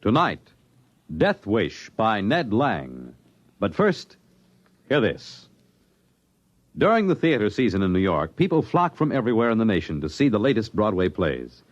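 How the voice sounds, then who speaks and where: A man's voice: lowish voice, big pitch swings, a little slow, pauses often. An American man announcing in a polished, emphatic, theatrical 1950s radio style, on a dull old recording.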